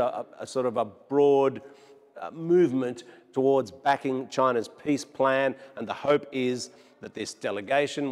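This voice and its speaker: A man's voice: mid-range voice, a little mellow. A man giving an explanatory news report as a foreign correspondent. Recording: long reverberant room echo.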